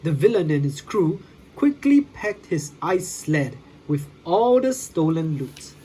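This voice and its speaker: An expressive read-along storyteller with a very husky voice. The pitch is animated and dramatic, and the pace is slow enough for young listeners to follow. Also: low-quality recording. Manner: dramatic, with sound effects.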